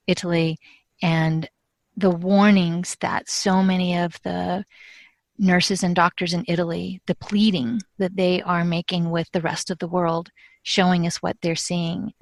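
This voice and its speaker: Woman interviewer, lowish voice, dry close mic, asks long careful questions. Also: level tone, measured pace, dry recording. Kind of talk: podcast interview.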